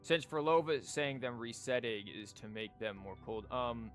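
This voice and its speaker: A man's voice: medium pitch, steady tone, normal speed, soft background music. A man livestreaming a game with casual, rambling commentary and a sarcastic, wry manner.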